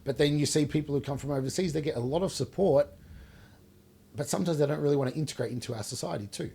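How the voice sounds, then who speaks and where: A man's voice: on the high side, fast, vocal fry, a loud crowd. A man co-hosting a casual podcast, talking informally and hesitantly, often repeating himself. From Wales, later Australia. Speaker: podcast host. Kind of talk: casual unscripted podcast discussion.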